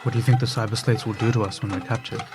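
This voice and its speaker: A man playing a resigned, low-voiced fugitive musician. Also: steady tone, rapid-fire, close to an everyday voice. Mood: pressing, fatalistic. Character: resigned, world-weary male failed musician in hiding. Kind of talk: dramatic audio drama dialogue. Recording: music bed under voice.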